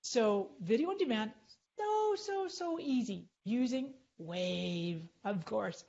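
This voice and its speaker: A woman's voice: fairly high pitch, expressive, slow pace, vocal fry, warm tone, lo-fi sound. A middle-aged woman leading a chatty live tutorial on screen, clicking through and explaining as she goes. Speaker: social media marketing trainer.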